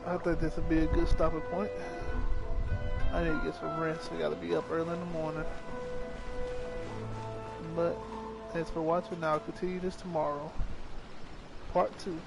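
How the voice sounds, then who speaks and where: A man's voice: a little high, flat delivery, moderate pace, gravelly, pauses often, loud music behind the voice, rough recording. A man doing casual, offhand let's-play commentary, at times reading dialogue aloud. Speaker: gaming video host.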